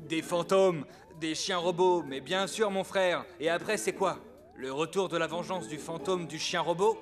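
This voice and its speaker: A sceptical young male footballer in a cartoon, acted by a man, pitched high. He talks in loose slang with sarcastic, wisecracking timing. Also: moderate pace, soft background music, lightly acted. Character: laid-back, sceptical, wisecracking young male soccer player. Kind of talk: animated cartoon dubbing in French.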